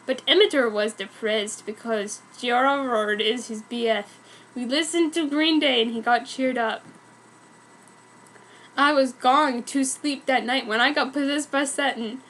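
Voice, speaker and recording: High-voiced woman reading fan fiction. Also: a bit bright, slight background noise, rough recording. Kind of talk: dramatic narration of a story.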